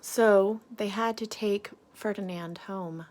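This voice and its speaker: Slow, expressive woman reading to children. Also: mid-range voice. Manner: deliberate, dramatic emphasis, asks listeners questions. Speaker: teacher reading to pupils. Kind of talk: expressive picture-book read-aloud for children.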